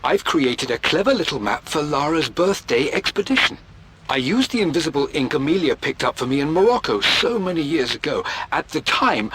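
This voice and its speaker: A man's voice: fairly high pitch, sharp tone, a little hiss or hum, rough recording. An actor playing a middle-aged British scholar, earnest and emotive, reading his journal as a game voice-over. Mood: pleased, fond. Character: obsessive scholarly British father, earnest and brooding.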